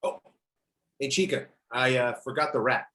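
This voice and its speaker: Man acting cocky mid-pitched macho soldier. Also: animated, normal speed, pushed and bright. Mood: flirty, casual. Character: cocky, flirtatious macho soldier, casually needling. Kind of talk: amateur screenplay table read.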